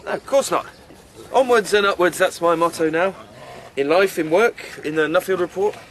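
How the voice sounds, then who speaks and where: A man's voice: high voice, normal speed, a bit bright, slight background noise. A man with an English accent playing an earnest, ambitious farmer in a radio soap. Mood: upbeat. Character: earnest, ambitious English farmer pitching his business ideas.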